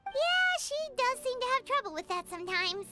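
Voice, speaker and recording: A woman's voice: very high-pitched, big pitch swings, normal speed. A woman voicing a tiny, cheeky, childlike fairy companion in a video game, with a chirpy manner. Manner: chirpy, talks in third person. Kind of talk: cartoonish video game dub acting. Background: loud background music.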